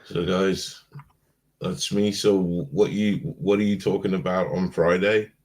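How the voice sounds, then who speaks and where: A man co-hosting a casual live-stream chat, drowsy from being stoned, rambling and trailing off in a mellow way. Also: sits low, fairly even intonation, measured pace. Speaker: podcast co-host.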